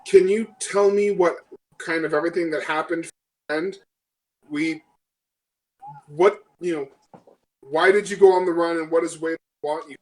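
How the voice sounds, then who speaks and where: A man's voice: fairly high pitch, fairly even intonation, close to an everyday voice, rough recording. Man playing a frank, world-weary female marshal. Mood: questioning. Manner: candid, halting.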